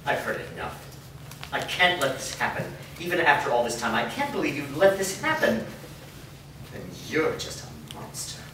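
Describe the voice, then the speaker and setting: A man's voice: a little high, big pitch swings, moderate pace. A man acting a weary, guilt-ridden blacksmith father in a staged fantasy drama reading. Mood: angry. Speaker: actor. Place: echoey room, recorded from a distance at a staged reading. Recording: echoey room, distant mic, clear background noise.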